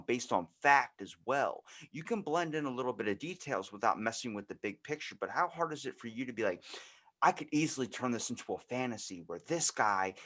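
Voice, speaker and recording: A man hosting a podcast interview, chatting casually in a rambling way and acting out little asides as he goes. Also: medium pitch. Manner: rambling, animated storytelling with acted-out asides. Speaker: podcast host interviewing a guest. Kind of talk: casual chat.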